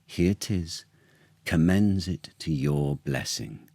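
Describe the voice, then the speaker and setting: A man's voice: deep voice, takes it slowly, warm tone, no room sound, exaggerated voice. A man voicing a brave, pleading noblewoman in earnest, theatrical style for a children's storybook. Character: brave, pleading noblewoman defending a queen and her baby.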